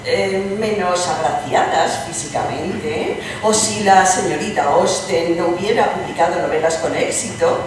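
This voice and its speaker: A woman's voice: fairly low pitch, close to an everyday voice. A woman playing a witty aristocratic princess in a dramatized reading, arch and condescending in manner. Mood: cool teasing. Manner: arch, condescending, theatrically drawn-out. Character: witty, worldly aristocratic princess, sharp advocate for women. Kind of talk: dramatized stage reading, radio theatre. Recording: echoey hall from far off, noisy.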